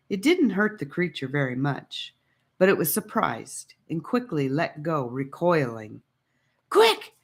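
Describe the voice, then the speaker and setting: Low-voiced woman narrating a storybook. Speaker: audiobook narrator.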